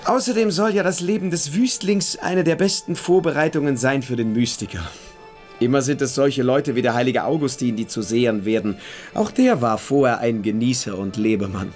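An actor playing a calm, philosophical and superior young friend in a literary radio play. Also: male voice, medium pitch, normal speed, faint music behind, lightly acted. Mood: questioning, superior.

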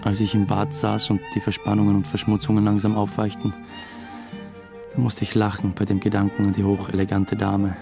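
A man's voice: fairly low pitch, completely flat delivery, brisk, gravelly, music in the background. An actor playing a brooding, weary man who narrates his own story in a radio drama, subdued and sometimes hesitant. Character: brooding, weary male first-person narrator under suspicion.

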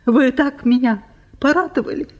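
Husky, sentimental genteel lady. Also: high-pitched, moderate pace, a little mellow, lo-fi sound. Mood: tender generosity. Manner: tender, genteel, effusive, repeats words emotionally. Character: kindly, sentimental general's wife, a trusting amateur healer. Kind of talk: theatrical Russian radio drama.

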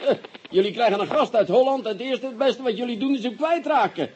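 An actor playing an irascible, sarcastic police commissioner in a radio drama, husky, grumbling and stammering when worked up. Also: male voice, extremely high pitch, quick pace, darkish tone, highs cut off. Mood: angry, impatient.

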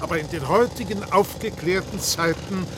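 An actor playing a calm, evasive official in a radio play, speaking formally and with composure. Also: male voice, high voice, moderate pace, background noise, natural voice. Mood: composed, reverent.

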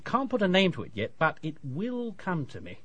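Radio drama: English dentist, highish lively voice, talkative and pleased with himself. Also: male voice, no room sound, highs cut off, heavily acted. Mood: opinionated, self-satisfied. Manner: chatty, brisk, self-assured bedside patter. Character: chatty, self-satisfied male English dentist, briskly professional.

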